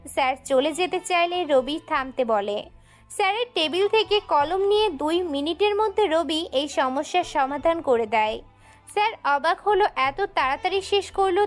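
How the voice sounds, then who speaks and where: Very high-pitched female story narrator. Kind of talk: scripted dramatic story narration.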